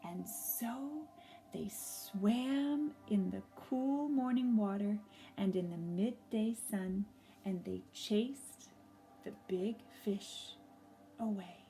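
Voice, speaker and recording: A woman reading a story aloud for young children, gently and expressively, pausing to ask them questions. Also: medium pitch, faint music behind, low-quality recording.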